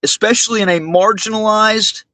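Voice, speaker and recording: A man hosting a livestream monologue, speaking indignantly and haltingly, often repeating his words. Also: high voice, fairly even intonation, sharp tone, no echo. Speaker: livestream host.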